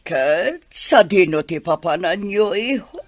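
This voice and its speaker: A woman's voice: on the low side, animated, slow, dry recording. An actress with an Ilocano accent playing a mother who pleads with and scolds her grown son in a radio drama. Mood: worried.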